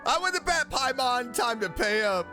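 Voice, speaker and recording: A man giving casual, playful commentary as he plays a game, jokey and expressive, talking back to the characters. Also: very high voice, brisk. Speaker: streamer reacting while playing a game. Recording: game music behind the voice.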